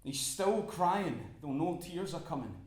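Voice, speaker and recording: A man's voice: fairly high pitch, expressive, a little slow, echoey room. A frantic young Scotsman. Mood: strained. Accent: Scottish. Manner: frantic, laughing through panic, shouting. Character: young Scottish student worker, desperate and joking. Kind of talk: dramatic monologue acting.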